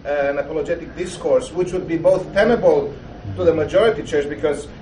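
A Romanian man poses a halting question from the audience, slightly high-pitched and full of pauses. His voice carries from a distance through a reverberant hall. Steady background noise sits under it. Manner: hesitant, many fillers. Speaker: audience member asking a question. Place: lecture hall.

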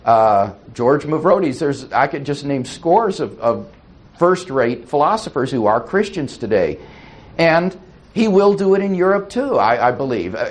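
A man answering audience questions after a talk, thoughtful and explanatory. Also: mid-range voice. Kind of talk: lecture Q&A answers.

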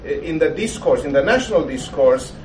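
A man with a Romanian accent asking a halting, hesitant question from the audience in a lecture hall. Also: on the high side, animated, darkish tone. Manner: hesitant, many fillers. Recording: echoey hall, voice from far off, background noise.

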